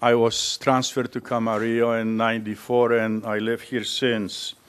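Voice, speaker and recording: A man's voice: mid-range voice, flat delivery, moderate pace. A man giving a blunt, matter-of-fact public comment at a community meeting, citing statistics.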